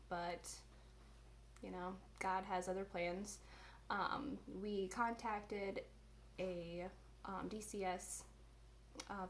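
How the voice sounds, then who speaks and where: A young woman in her twenties talks in an easy, unscripted way at a middle pitch. She chats on her vlog and fills her pauses with ums. A little room noise hums faintly behind her. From Indiana, USA. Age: 20s. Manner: chatty, many ums and restarts. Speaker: vlogger. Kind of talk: casual chat.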